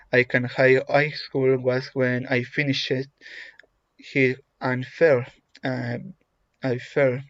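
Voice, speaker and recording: A man from Chile with a Chilean Spanish accent, a native Spanish speaker reading a prepared self-introduction in English, halting, with frequent fillers and restarts. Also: mid-range voice, clear, resonant tone, no echo. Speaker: English language student. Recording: dull lo-fi recording.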